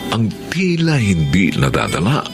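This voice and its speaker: A man's voice: lowish voice, huge pitch swings, a little slow, gravelly, music in the background. A man with a Filipino accent delivering dramatic scripted narration for a radio drama.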